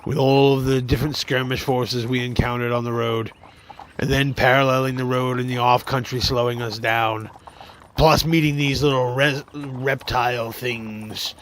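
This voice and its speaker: A man's voice: mid-range voice, fairly even intonation, normal speed, a bit bright, slight background noise, close to an everyday voice. A man playing an experienced cart driver in a fantasy radio drama, instructing a younger companion in folksy, old-time phrasing. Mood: explaining.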